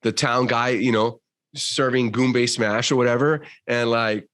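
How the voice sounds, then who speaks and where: A man with a Cuban American accent speaks as a podcast guest in casual conversation. His voice has an ordinary mid pitch. He talks in an emphatic, motivational way and calls people dude. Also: fairly even intonation, unhurried, fairly bright tone.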